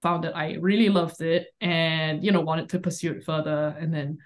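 A woman in her thirties from Singapore, answering interview questions in a relaxed, thoughtful way with many pauses and fillers, over a call. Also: low voice. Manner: relaxed, thoughtful, light laughs, many ums. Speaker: author guest. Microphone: remote call mic.

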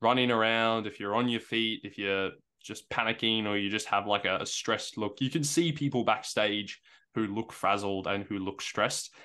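A man's voice: fairly low pitch, normal speed, pushed and bright, clean tone. An Australian man on a podcast who jokes cheekily and then explains his view earnestly. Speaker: podcast co-host. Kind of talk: casual podcast chat.

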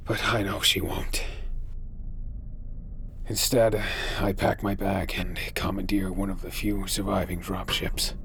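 A man's voice: deep voice, little pitch movement, unhurried, fairly bright tone, a little hiss or hum. An actor playing a weary, sardonic space pirate who tells his story in an audio drama. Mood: tense, subdued. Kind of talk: first-person audio drama narration with acted dialogue. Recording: dramatic music under the voice. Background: music.

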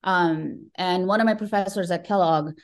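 A woman's voice: on the low side, normal speed. A middle-aged woman from Nepal speaking reflectively as a guest in a conversational interview, often checking in with short tag questions. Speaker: podcast guest, tech professional.

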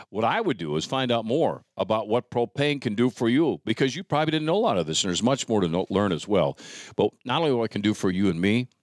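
A middle-aged male host with a fairly low voice that moves around in lively swings. He is chatty and emphatic, and the sound is dry and close. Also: no echo. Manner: emphatic, chatty, addresses listener directly. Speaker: interview show host. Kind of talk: casual hosting with conversational sponsor reads.

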